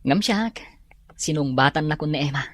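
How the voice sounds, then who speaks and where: A man's voice: fairly high pitch, animated, normal speed, no room sound. An actor playing a bashful young man in love, in a radio drama. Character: shy, lovestruck young man. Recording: radio drama with music bed.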